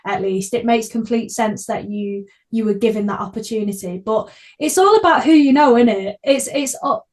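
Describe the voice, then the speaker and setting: A young woman with a Manchester accent, hosting a podcast interview in an effusive, chatty way. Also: a little high. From Manchester, England. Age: young adult. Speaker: podcast host. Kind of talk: casual interview chat.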